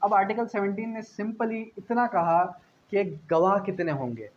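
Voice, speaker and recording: Pakistani guy teaching a law class, kinda high voice, repeats stuff, asks then answers, bit of background noise. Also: expressive, measured pace. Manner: didactic, repeats key phrases, asks and answers own questions. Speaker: law lecturer. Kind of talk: explanatory exam-prep lecture, switching between reading legal clauses and explaining. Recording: dull lo-fi audio with faint noise.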